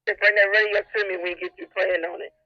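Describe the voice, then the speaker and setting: A woman's voice: medium pitch, flat delivery, quick pace, no room sound. A woman working retail, talking casually through a walkie-talkie radio. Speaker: retail store worker. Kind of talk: casual two-way radio exchange. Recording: muffled, lo-fi walkie-talkie transmission.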